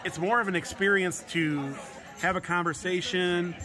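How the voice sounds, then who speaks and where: A man's voice: sits high, steady tone, normal speed. A man in his forties giving a chatty, casual walkthrough of a restaurant meal at the table, repeating words and laughing often. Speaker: travel vlogger. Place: busy restaurant table indoors. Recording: crowded restaurant chatter behind.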